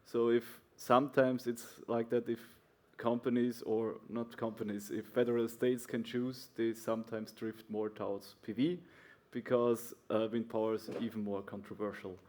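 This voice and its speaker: A man's voice: medium pitch, level tone, normal speed, a little mellow. A man from Austria answering on a conference panel for a solar association, hesitant with many fillers. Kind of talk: panel discussion.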